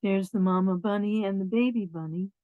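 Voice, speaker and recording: A woman's voice: medium pitch, fairly quick, phone-quality sound. A woman storyteller reading and talking informally to families, explaining things directly to young listeners. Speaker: read-aloud storyteller. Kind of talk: picture book narration and informal address to families.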